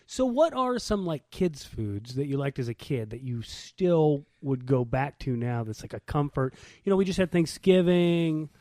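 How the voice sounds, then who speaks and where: A man's voice: a little high, very animated, normal speed, few pauses, darkish tone, dry recording, studio quality. A man co-hosting in casual banter, asking questions and teasing in slangy everyday speech. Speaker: podcast co-host.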